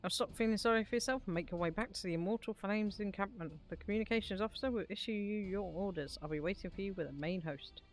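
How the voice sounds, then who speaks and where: A woman's voice: medium pitch, fast talker, hardly any pauses, close to an everyday voice. A hearty fantasy game commander, acted out by a woman, rallies a hero in bombastic style. The delivery is declamatory and rousing, with music underneath. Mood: rousing, commanding. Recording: music behind the voice.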